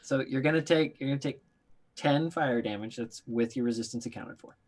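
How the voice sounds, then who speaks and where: A man's voice: mid-range voice, a little fast, a crowd in the background. A man running a tabletop game as dungeon master, narrating with playful laughs.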